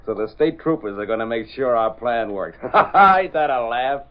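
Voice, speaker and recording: Crude male henchman played by an actor, middle-pitched, dim and slangy, vintage radio drama on a tinny recording. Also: lively intonation, moderate pace, lightly acted. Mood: smug, jeering. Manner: slangy, ungrammatical lowbrow talk. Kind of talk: 1930s-40s radio drama acting.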